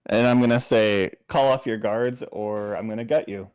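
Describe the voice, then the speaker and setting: A man playing a tabletop role-playing game, speaking casually and deliberately as he states his moves. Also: lowish voice, steady tone, darkish tone, clean tone.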